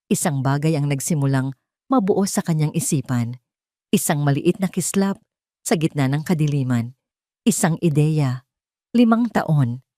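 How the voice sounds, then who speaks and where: Woman narrator with expressive, swooping delivery. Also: deep voice, dry recording, studio quality. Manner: measured, dramatic storytelling delivery. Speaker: storyteller, narrator. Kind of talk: dramatic scripted story narration.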